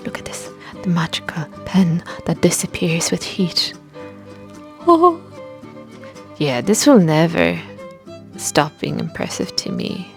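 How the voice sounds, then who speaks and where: A woman's voice: mid-range voice, gravelly. A woman vlogging about her sewing in a casual, self-mocking way, laughing often. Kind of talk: casual chat to camera.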